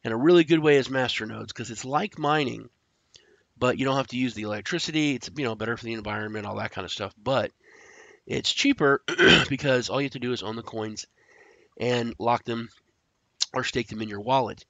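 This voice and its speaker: A man who is sick and stuffed up, casually walking through a screen-share tutorial, rambling with fillers and clearing his throat. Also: medium pitch, fairly quick, fairly bright tone, dry recording. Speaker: crypto tutorial host. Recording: dry, dull lo-fi audio.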